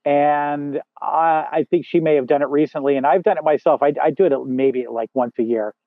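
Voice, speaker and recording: Booming-voiced man on a tinny line. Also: fairly even intonation, brisk, dry recording. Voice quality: big loud booming voice. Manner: rambling, frequent fillers and restarts. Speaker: podcast guest, first assistant director. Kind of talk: casual interview chat. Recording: dry tinny phone-like sound.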